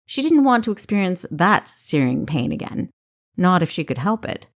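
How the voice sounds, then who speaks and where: A woman's voice: fairly low pitch, big pitch swings, moderate pace. A woman reading scripted fiction as an audiobook narrator.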